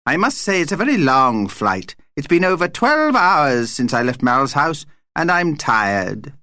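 A man's voice: fairly high pitch, very animated, unhurried, sharp tone, no room sound, voice pushed up, full character voice. A man voicing a tiny, opinionated male vampire in an audiobook, effusive and given to dramatic exclamations. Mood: chatty, tired.

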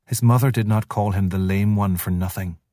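A man reading a quiet, wise mother wolf. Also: lowish voice, steady tone, fairly quick, no room sound, studio quality, close to an everyday voice. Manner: quiet, bitter irony.

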